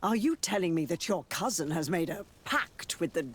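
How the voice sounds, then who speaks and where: A man's voice: fairly high pitch, expressive, moderate pace, husky. A man playing a proud, sceptical religious leader in a video game, speaking in a formal, theatrical and probing manner. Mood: suspicious, indignant. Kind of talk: video game cutscene dialogue acting.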